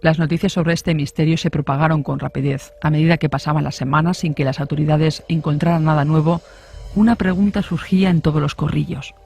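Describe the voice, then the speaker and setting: A man's voice: a little high, fairly even intonation, a little fast, creaky, a little mellow. A man reading scripted narration of a true crime story.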